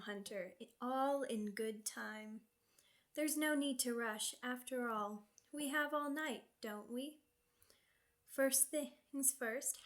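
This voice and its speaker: Woman doing a seductive vampire lady for asmr roleplay, formal n teasing, really slow, voice a bit high. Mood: courtly, insistent. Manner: formal, teasing, savoring each phrase. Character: sadistic, seductive vampire noblewoman, predatory yet courteous.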